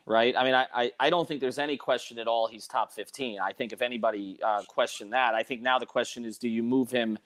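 A man's voice: medium pitch, a bit bright. A man hosting a casual sports debate, thinking aloud in questions. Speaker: sports podcast host.

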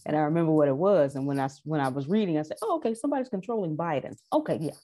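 Low, mellow-voiced woman reading aloud. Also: expressive, rapid-fire, slight background noise. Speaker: former social studies teacher. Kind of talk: reading aloud in a group meeting.

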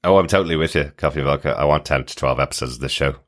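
Very deep-voiced male podcast co-host. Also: very fast. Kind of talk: casual TV recap chat.

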